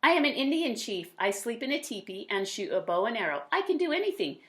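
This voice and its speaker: Woman voicing a boastful little boy adventurer, pitched up. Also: sing-song, quick pace, a bit bright, a little hiss or hum. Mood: boastful, excited. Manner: declarative, emphatic boasting. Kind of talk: children's storybook character reading.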